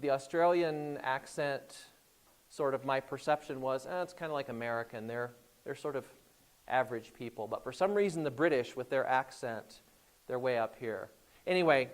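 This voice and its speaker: A middle-aged man with an Indiana accent speaks to a class as a guest, telling anecdotes in a relaxed, unhurried way. His voice sits at an ordinary middle pitch. He often hesitates and restarts his sentences. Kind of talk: classroom storytelling talk.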